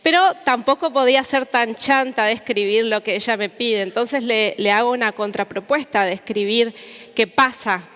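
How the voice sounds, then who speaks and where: A woman's voice: fairly high pitch, moderate pace, a bit bright. A woman gives an informal, reflective public talk, telling stories conversationally and checking in with tag questions. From Argentina or Paraguay border region. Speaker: academic, activist and book contributor presenting at a book launch. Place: indoor hall at a public book presentation. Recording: reverberant hall.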